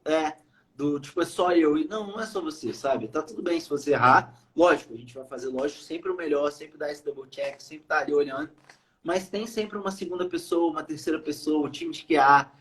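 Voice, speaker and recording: A man's voice: fairly high pitch, a little fast, fairly bright tone, low-quality recording. A young Brazilian man from Brazil, a podcast guest speaking casually with many fillers and hesitations. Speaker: podcast guest, iOS developer. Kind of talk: casual interview chat.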